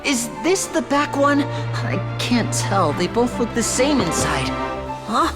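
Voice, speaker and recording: A woman voicing a cheeky, expressive young male stage magician in a video game. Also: a little high, slow pace. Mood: playfully effortful. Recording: loud music over voice.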